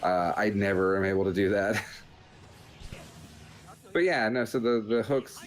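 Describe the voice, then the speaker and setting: A man's voice: on the low side, unhurried. A man hosting a video game show, giving casual, rambling commentary in a joking, understated way.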